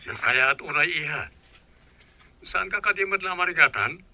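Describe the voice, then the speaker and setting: Man playing a very bright-voiced caring father. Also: a little high, expressive, moderate pace, dry recording, exaggerated voice. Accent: Filipino. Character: caring father speaking to his daughter. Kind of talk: Filipino radio drama acting.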